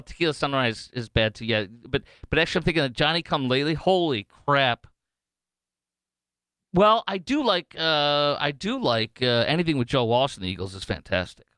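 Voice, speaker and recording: A man's voice: mid-range voice, clear, resonant tone, dry recording. Sarcastic, jumpy radio host man. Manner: animated and sarcastic, full of fillers and restarts. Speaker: fill-in radio talk show host. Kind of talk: casual talk radio commentary.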